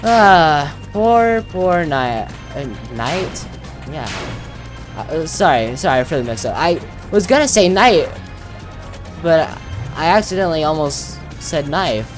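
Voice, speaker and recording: A man's voice: fairly high pitch, expressive, takes it slowly, music in the background. A man commentating casually on his own video game playthrough, thinking aloud as he plays.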